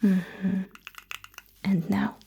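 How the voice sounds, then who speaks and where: A woman whispering breathily in an ASMR roleplay as a sci-fi technician, giving soft, reassuring instructions close to the microphone. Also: medium pitch, level tone, extremely slow, creaky, lo-fi sound, slight background noise.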